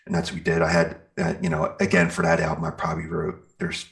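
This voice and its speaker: Very deep, gravelly American man. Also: completely flat delivery, fast, a bit bright. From Pennsylvania, United States. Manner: rambling, peppers talk with "you know" and "like". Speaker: interview guest, metal band singer and guitarist. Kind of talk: casual interview storytelling.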